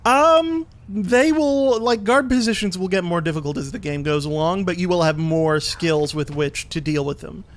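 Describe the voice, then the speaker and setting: A man giving casual, explanatory commentary while playing a video game, a bit halting as he goes. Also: high voice. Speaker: let's play host and player.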